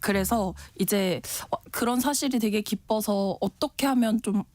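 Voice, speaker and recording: A woman's voice: medium pitch, moderate pace, darkish tone, dry recording. A woman in her twenties from Wando, answering interview questions in a friendly way and laughing often. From South Korea, Wando. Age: 20s. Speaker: interview guest, village head. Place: radio studio.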